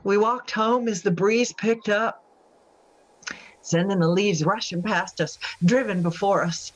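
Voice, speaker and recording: A woman playing a devout, unsettled imprisoned mother in a radio drama, giving a halting, wistful confessional monologue. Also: medium pitch, lightly acted, low-quality recording. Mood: brooding. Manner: halting, wistful, folksy, brittle.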